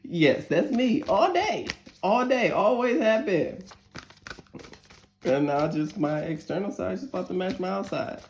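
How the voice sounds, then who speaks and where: Deep gravelly woman reading tarot. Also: lively intonation, unhurried, darkish tone, slight background noise. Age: 40s. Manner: chatty, candid, curses freely and laughs often. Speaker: tarot reader. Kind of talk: casual tarot reading with spoken prayer. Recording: roomy echo.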